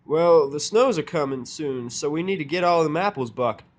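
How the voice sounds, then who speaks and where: A man's voice: fairly high pitch, lively intonation, normal speed, pitched above the performer's own voice, close to an everyday voice. A man voicing a plain-spoken, skeptical female farm pony who talks in folksy country dialect. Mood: doubtful.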